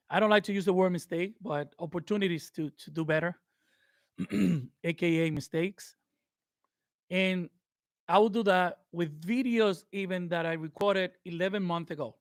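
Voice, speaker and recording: A man's voice: sits high, moderate pace, pauses often, no echo, studio quality. A man whose first language is Spanish gives casual advice to viewers, hesitant and full of fillers and restarts. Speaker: YouTube creator. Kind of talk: casual advice talk to camera.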